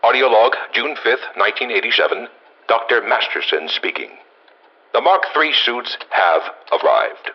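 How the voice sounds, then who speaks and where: An actor playing a gruff, wry male senior scientist, dictating a formal yet musing, dryly humorous audio log for a game through a radio-like filter. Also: medium pitch, animated, moderate pace. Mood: businesslike. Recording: muffled lo-fi audio log recording.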